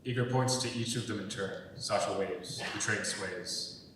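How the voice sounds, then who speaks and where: Young male stage-direction narrator, lowish voice, long hall reverb, scripted delivery. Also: normal speed, a bit bright. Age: young adult. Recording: very echoey hall, distant mic, faint rumbling noise.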